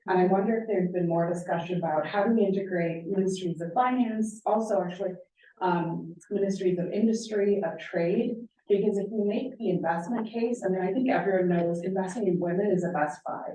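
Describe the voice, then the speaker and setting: A woman in the audience asking a measured question at a conference panel, pausing on fillers. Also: on the low side, dark tone. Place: large conference room. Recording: echoey hall from far off, dull.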